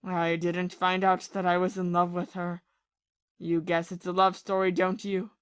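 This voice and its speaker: A woman playing a bitter, lovesick gentleman captain. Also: on the low side, monotone, clean tone, dry recording, lightly acted. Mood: wistful. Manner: confessional, impassioned, halting with repeated phrases. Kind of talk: audio play acting of a period drama.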